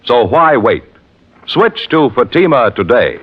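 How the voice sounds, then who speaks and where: A man's voice: mid-range voice, big pitch swings, unhurried. An American man reading a scripted 1950s radio commercial with an emphatic salesman's delivery. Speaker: commercial announcer. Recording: muffled old radio recording.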